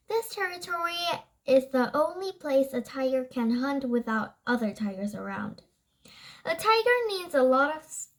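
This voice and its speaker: A young-sounding reader reads a nonfiction book aloud haltingly, stumbling over words and correcting themselves. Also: sits high, rough recording.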